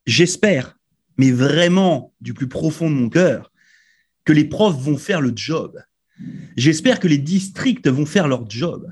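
A man's voice: on the high side, expressive, slow pace, darkish tone, breathy edge. Emphatic European man hosting radio. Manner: emphatic, hesitant with many fillers. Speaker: host. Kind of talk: radio panel discussion. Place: radio studio.

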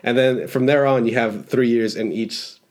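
A man's voice: medium pitch, fairly even intonation, moderate pace. A man in his twenties in casual conversation, informal and emphatic, swearing freely. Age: 20s. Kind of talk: casual chat.

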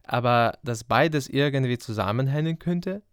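A man's voice: medium pitch, animated, normal speed, clear, resonant tone, no echo. Teasing young man. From Ukraine. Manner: teasing, dry humour. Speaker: podcast co-host, journalism student. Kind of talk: scripted intro and casual podcast chat.

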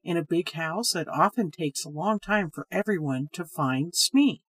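Storybook role: grave, uneasy male storyteller, read by a woman, husky voice, measured telling. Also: lowish voice, lively intonation, a bit bright. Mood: earnest. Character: sad, hesitant man telling a ghost story.

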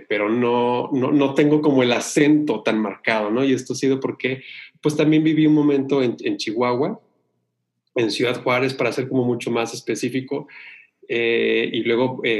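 Hesitant, rambling man from Sonora. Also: medium pitch. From Sonora, Mexico. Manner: rambling, hesitant, many restarts and fillers. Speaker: podcast co-host. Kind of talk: casual chat.

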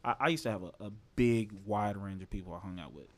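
A man's voice: on the low side, fast talker. Guy in his 20s just chatting, curses a lot, super casual. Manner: loose and candid, swears freely, lots of "you know what I mean". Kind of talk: casual chat.

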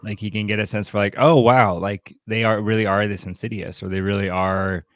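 A man with a fairly low voice hosts a casual discussion about books. He speaks thoughtfully and haltingly, with bookish phrasing. The sound is close and dry, with little room around it. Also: fairly even intonation, dry recording. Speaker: podcast host.

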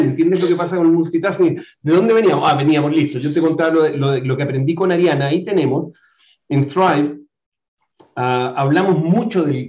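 A man's voice: fairly high pitch, a little mellow. A man hosting a casual interview, playful and joking, who turns to the audience directly. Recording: echoey, dull lo-fi room sound.

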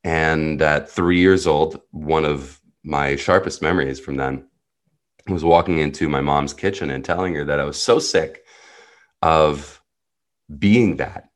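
A man's voice: a bass voice, measured pace. A man, a native Hebrew speaker who grew up in the US, telling his life story as a podcast guest in an earnest, reflective and blunt way. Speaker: podcast guest, personal guide. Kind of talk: candid personal storytelling.

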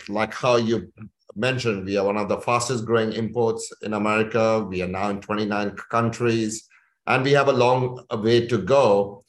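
Male executive from India, lowish voice, enthusiastic interview guest, roomy echoing sound. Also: steady tone, moderate pace. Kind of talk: conversational business interview. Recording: echoey room.

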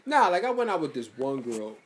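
A man's voice: brisk, a little hiss or hum. A man in his thirties in casual chat as a podcast co-host, talking in loose slangy bursts. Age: 30s. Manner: laid-back slangy talk, lively pitch swings.

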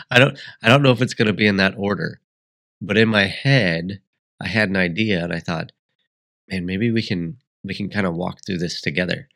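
A man's voice: deep voice, moderate pace, creaky, pauses often, a bit bright. A man hosting a solo podcast, congested and sniffly, talking in a rambling, reflective way with frequent restarts. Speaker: podcast host.

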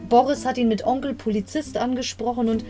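A woman's voice: on the high side, steady tone, fairly quick, dark tone. A young woman casually retelling and commenting on an audio drama episode, chatty and prone to side stories and self-corrections. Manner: chatty, rambling asides, corrects herself mid-story. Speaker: podcast host reviewing an audio drama episode. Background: faint guitar music.

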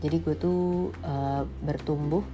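A woman's voice: deep voice, steady tone, measured pace, music in the background. A woman in her late twenties from Indonesia giving a casual, candid monologue, blunt and slangy, with English phrases mixed in. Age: late 20s.

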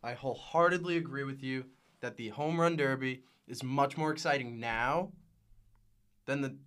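A man's voice: mid-range voice, normal speed, a bit bright, smooth. A young man giving emphatic, opinionated takes as a guest on a casual sports podcast.

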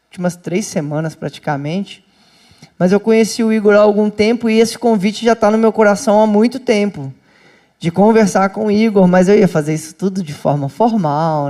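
A pastor talks to a small church gathering in a loose, animated way, often turning to the people in the room. His voice has a mellow, soft-edged tone. It sounds like an indoor meeting rather than a studio. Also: high voice. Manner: lively, chatty, addresses listeners as brothers and sisters. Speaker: pastor and host. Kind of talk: casual talk to a small church group.

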